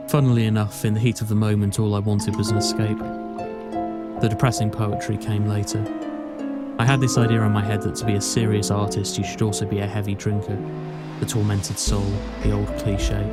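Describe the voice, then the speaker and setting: A young man playing a wry, melancholy student in a reflective film voice-over, deadpan and introspective, with music underneath. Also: on the low side, lightly acted. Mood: self-mocking. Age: young adult. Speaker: actor narrating as the lead character.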